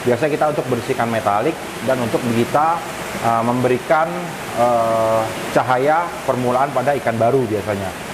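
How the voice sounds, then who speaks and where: A man's voice: medium pitch, moderate pace. A man, explaining things informally to viewers as a fish-keeping vlogger. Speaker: arowana fish keeper and vlogger. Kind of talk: casual explanatory vlog talk. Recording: clear hissy background noise. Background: steady hiss.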